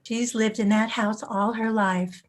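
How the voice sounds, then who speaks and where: A woman's voice: fairly high pitch, fairly even intonation, measured pace, slight background noise, natural voice. A woman playing a chatty, suspicious but hospitable suburban housewife in a staged play reading. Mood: curious. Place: video call.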